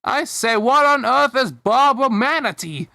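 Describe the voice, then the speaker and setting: A man voicing an uppity nine-year-old asparagus boy in a high-pitched, pompous British voice for a cartoon fan fiction reading. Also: expressive, measured pace, vocal fry, sharp tone, no echo, heavily acted. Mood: haughty. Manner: pompous, haughty.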